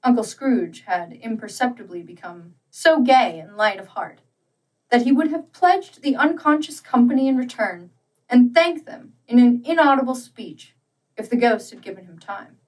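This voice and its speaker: A woman narrating a literary story as an audiobook, with measured, deliberate and dramatic phrasing. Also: on the high side, expressive, far from the mic, low-quality recording.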